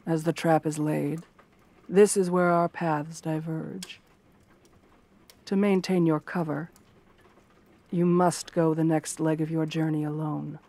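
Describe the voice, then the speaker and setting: A woman playing a stern, scheming royal guard captain in a fantasy roleplay, formal, measured and commanding. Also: sits low, steady tone, mellow. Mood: cold, commanding. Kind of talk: scripted fantasy ASMR roleplay.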